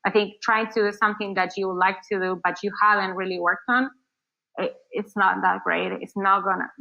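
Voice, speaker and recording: A woman from Argentina talks through her answers in a loose, rambling way, full of fillers and restarts. English is not her first language. Her voice sits at a middle pitch, and it comes over a muffled, lo-fi video call. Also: creaky, pushed and bright. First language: Spanish. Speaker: marketing agency founder. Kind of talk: casual interview answers.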